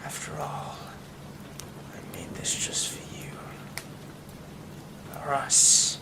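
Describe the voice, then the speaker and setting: A man voicing a haunted young man hiding a werewolf curse, speaking in a hushed, halting way that often drops to a whisper. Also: fairly high pitch, lively intonation, vocal fry, heavily acted. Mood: menacing whisper. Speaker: ASMR roleplay performer. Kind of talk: ASMR horror roleplay.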